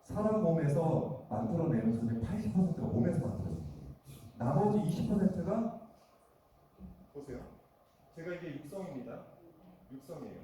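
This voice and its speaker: A man's voice: fairly high pitch, big pitch swings, moderate pace, long pauses, noisy background. A man giving a lecture to an audience, talking conversationally and often asking the room questions. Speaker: guest lecturer. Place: meeting hall. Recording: echoey hall from far off.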